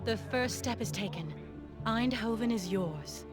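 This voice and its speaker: A woman's voice: fairly high pitch, expressive, unhurried, over loud music. Composed female military adviser. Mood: cool, assured. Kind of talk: military video game dialogue.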